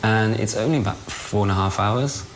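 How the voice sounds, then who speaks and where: A young man from Germany speaking unscripted in an interview, halting and understated. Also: on the low side, a little hiss or hum, rough recording. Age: young adult. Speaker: interviewee, donor.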